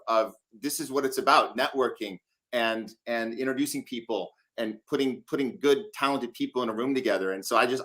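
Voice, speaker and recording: A man's voice: medium pitch, fairly bright tone. Male host, conversational interviewer, mid-range voice.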